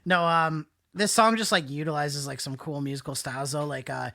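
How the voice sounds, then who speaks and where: A man with a fairly high, nasal voice chats casually as a podcast host. He talks about music in loose, slangy, joking language, with a very bright, forward tone. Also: fairly even intonation, a little fast, gravelly. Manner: jokey, informal, slangy. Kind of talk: casual chat.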